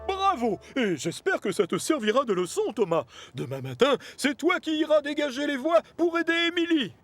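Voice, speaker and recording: High-pitched, emphatic railway boss. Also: male voice, very animated, normal speed, dry recording, soft background music. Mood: firm. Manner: authoritative, emphatic. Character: stern but fair male railway boss. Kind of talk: French-dubbed children's cartoon acting.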